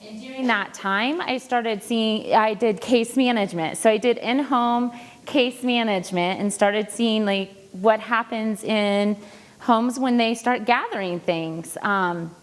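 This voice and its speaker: A woman counselor giving a casual lecture to a live audience, with a loud voice, chatty and full of fillers. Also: fairly high pitch, a little slow, big-room sound. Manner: chatty, with many fillers and restarts. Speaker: presenter and counselor. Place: large conference room.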